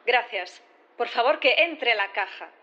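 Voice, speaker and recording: An actress playing a formal, composed prosecutor who questions a witness methodically and courteously in a courtroom audio drama. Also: female voice, on the high side, expressive, unhurried, dull audio, noisy background.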